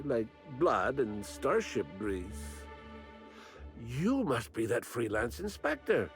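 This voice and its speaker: An older man playing a crotchety, eccentric old botanist in a video game, rambling and grumbling with morbid bluntness. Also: mid-range voice. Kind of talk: video game character acting.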